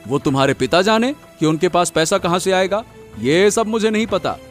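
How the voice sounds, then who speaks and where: A man's voice: high voice, lively intonation, normal speed, soft background music. A man playing an aggressive, greedy young husband who bullies his wife in a cartoon moral story. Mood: demanding, harsh.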